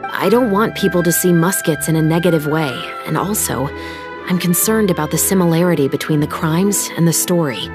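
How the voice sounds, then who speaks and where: A woman plays a young female musketeer officer in a game, with a lowish voice and a measured, formal delivery. She sounds composed and earnest, with music playing behind her. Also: natural voice. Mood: earnest, serious. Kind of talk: dramatic game voice acting.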